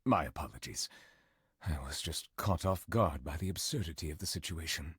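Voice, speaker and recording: Deep, husky, dignified game judge. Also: male voice, expressive, no echo, clean studio sound, exaggerated voice. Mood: taken aback. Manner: formal, measured, eloquent. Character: dignified, aloof, melancholy male judge with dragon-like nature. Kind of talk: scripted video game character voice lines.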